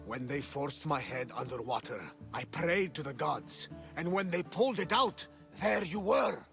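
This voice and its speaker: A weathered sea captain with a gravelly, rough-edged voice pitched a little high. He speaks theatrically and invokes the gods at every turn. A clear game score plays under him. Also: lively intonation, normal speed, a bit bright, faint music behind. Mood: grateful. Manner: dramatic, invokes the gods, bursts into laughs. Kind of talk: video game cutscene acting.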